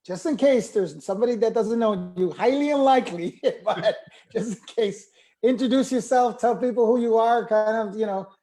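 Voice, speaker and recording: A man's voice: high voice, normal speed, warm tone. A man hosting a casual interview show, rambling a little and rephrasing his questions as he goes.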